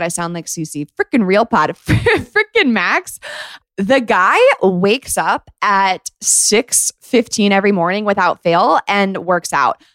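A woman's voice: mid-range voice, no room sound. A young woman podcast host talks casually to her listeners, offering advice in an emphatic, girlfriend-to-girlfriend way. Her speech is chatty and sprinkled with slangy asides, and the recording is dry and close. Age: 20s.

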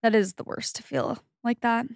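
A young woman co-hosting a podcast, chatting casually with quick reactions. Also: on the high side, fairly even intonation, gravelly, a little mellow, dry recording, clean studio sound. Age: young adult. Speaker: podcast co-host. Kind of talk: casual chat.

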